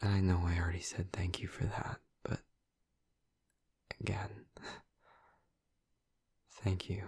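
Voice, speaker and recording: A man playing a gentle, awkward, affectionate vampire in an intimate audio roleplay, speaking softly and breathily with hesitant, halting tenderness, close to the mic. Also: low voice, flat delivery, no echo, whispered, exaggerated voice. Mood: awkward, sincere. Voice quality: soft, breathy, husky. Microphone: close, dry microphone.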